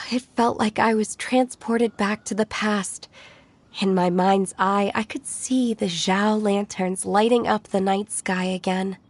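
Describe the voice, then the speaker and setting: Calm unworldly adventurer lady for a game, formal n gentle, takes her time. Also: medium pitch. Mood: wistful. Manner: measured, formal, reflective. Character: composed, earnest adventurer woman, unworldly and gentle. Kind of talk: video game voice acting.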